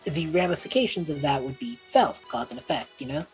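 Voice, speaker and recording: A woman's voice: deep voice, sing-song, brisk. A woman talking informally through a tabletop role-playing game, explaining things in a casual, improvised way. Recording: music playing behind the voice. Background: background music.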